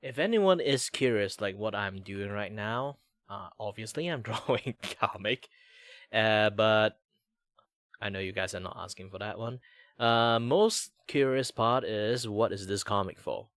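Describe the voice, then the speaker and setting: A man's voice: fairly low pitch, a little slow, fairly bright tone, no room sound, clean studio sound. A young man with a Malaysian English accent, hosting a casual stream while he draws and rambles chattily with lots of fillers. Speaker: streamer host. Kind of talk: casual chat while drawing.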